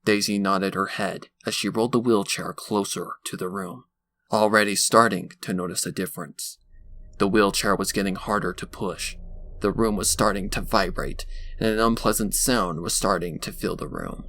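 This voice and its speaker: A man's voice: fairly low pitch, fairly even intonation, normal speed, fairly bright tone. A man reading scripted fan fiction as a dramatic narrator. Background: background music.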